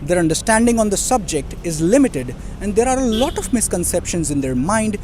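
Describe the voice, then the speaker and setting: A man's voice: high-pitched, very animated, darkish tone, slight background noise. A man interviewing young people outdoors on a city street. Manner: brisk questioning, switches between English and Hindi. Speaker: street reporter. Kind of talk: vox pop interviewing.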